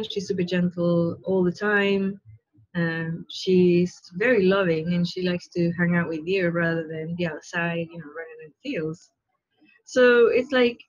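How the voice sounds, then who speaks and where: A woman's voice: mid-range voice, darkish tone. A middle-aged woman giving an informal spiritual talk in a conversational, emphatic way, often checking in with short tag questions, recorded indoors on an earphone headset. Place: small living room, indoors. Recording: dull, lo-fi webcam audio.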